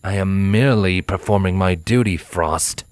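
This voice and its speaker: A man voicing a cold, proud male pony assassin with a haughty, measured, formal way of speaking. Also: sits low, lightly acted. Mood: cold, dismissive.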